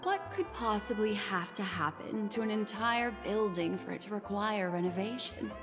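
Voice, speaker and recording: An actress playing a poised, enigmatic, alluring collector of memories in a video game, speaking in measured, theatrical, cryptic phrases. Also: female voice, medium pitch, lively intonation, close to an everyday voice. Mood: grave, knowing. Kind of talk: scripted game dialogue. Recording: long reverb, clear music behind.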